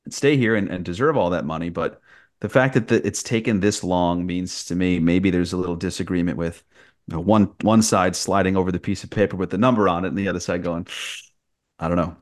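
A man's voice: deep voice, fairly quick. A man who works as a sports broadcaster, giving conversational sports analysis as a podcast guest.

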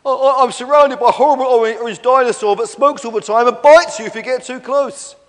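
A man voicing a naive, friendly young storybook stegosaurus, excitable and stammering, easily sent into a panic. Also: high-pitched, normal speed, natural voice. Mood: panicked, distraught. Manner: stammering, excitable, dim-witted. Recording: long echoey hall reverb.

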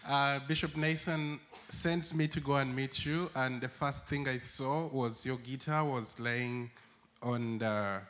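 A man's voice: medium pitch, steady tone, slow pace, a bit bright. A man from Uganda, a church worker telling stories as a guest and laughing often. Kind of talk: warm storytelling conversation.

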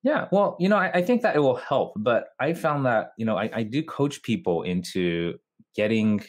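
A man's voice: mid-range voice, sing-song, moderate pace. A man from Japan with a North American accent, answering casually and explaining as an interview guest. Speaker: interview guest, video marketer.

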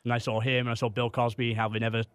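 A young English man with a slightly Canadian-tinged accent, chatting as a comedian guest in a quick, self-deprecating way. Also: medium pitch, monotone, fairly bright tone, clean tone, dry recording. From England. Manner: quick, self-deprecating, stumbles over starts. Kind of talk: casual podcast chat.

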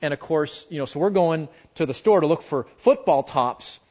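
An American man giving an informal Bible lesson to a group, talking conversationally and haltingly, with frequent asides. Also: a little high, expressive, a little mellow. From United States. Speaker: Bible class teacher. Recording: dull, muffled lo-fi audio.

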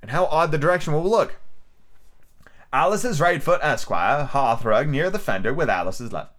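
A man puts on a prim, fretful storybook girl who keeps puzzling things out aloud to herself. The voice sits higher than his own and swings with lively pitch. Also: moderate pace.

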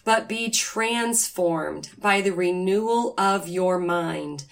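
A woman hosting a faith podcast, slightly raspy and nasal from a cold, talking earnestly and reading scripture aloud. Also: lowish voice, slow. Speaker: podcast host.

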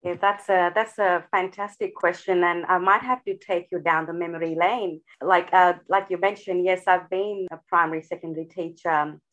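A woman's voice: fairly low pitch, steady tone, normal speed, hardly any pauses, a bit bright, muffled recording. A woman educator from India, thoughtfully answering podcast interview questions with frequent restarts. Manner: thoughtful, many restarts and fillers. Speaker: guest educator.

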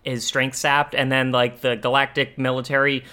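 A man co-hosting a podcast, talking casually and thinking aloud in a halting way. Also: medium pitch, little pitch movement, sharp tone. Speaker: podcast co-host. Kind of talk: casual discussion of animation.

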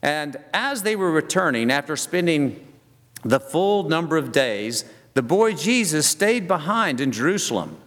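A middle-aged or older man, giving a folksy, halting, self-deprecating talk to a group. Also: a little high, big pitch swings, fairly bright tone. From southern United States. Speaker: speaker addressing a church group. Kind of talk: personal talk with stories and Bible reading. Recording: long echoing hall reverb.

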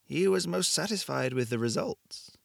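A man voicing a calm, cryptic woman shopkeeper with a vague European accent. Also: low voice, creaky, no echo, studio quality, voice pushed up, heavily acted. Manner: measured, formal, slow.

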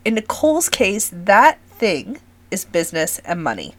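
A middle-aged woman with a raspy, scratchy voice talks casually to her listeners. She sounds a little worn, chatty and friendly as she hosts her podcast. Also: mid-range voice, big pitch swings, unhurried. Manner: chatty and warm-hearted, addresses listeners as friends. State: recovering from illness, scratchy voice. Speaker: podcast host.

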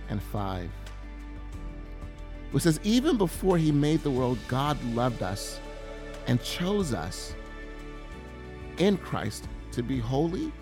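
A man giving an earnest, confessional sermon testimony, often halting and repeating words as he speaks. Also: medium pitch, big pitch swings, music in the background.

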